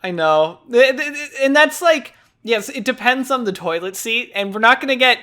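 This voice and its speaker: A man co-hosting a podcast in casual chat, rambling along with stammered restarts and quick laughs. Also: very high-pitched, expressive, sharp tone, smooth. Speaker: podcast co-host.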